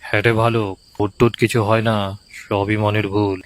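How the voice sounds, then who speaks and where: A man playing a calm, dismissive villager in a horror cartoon. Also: on the low side, normal speed, lightly acted, low-quality recording.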